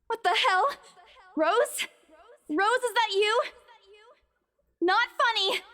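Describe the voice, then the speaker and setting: A woman's voice: very high voice, slow, pushed and bright, heavily acted. An actress playing an anxious young woman in a horror visual novel, urgent and exasperated. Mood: annoyed, uneasy. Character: anxious young woman searching for her missing friend.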